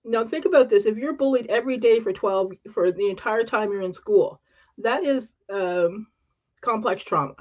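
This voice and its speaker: A woman with an upper-class Canadian accent, talking earnestly in quick fragments as a panelist on a live-streamed chat. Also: fairly high pitch, darkish tone, dull audio.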